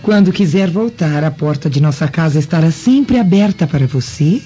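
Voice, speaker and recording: Kindly, mellow Roman hostess. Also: female voice, low-pitched, animated, normal speed, muffled recording, slight background noise. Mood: affectionate. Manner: affectionate, speaking to a child. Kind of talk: Brazilian radio drama acting.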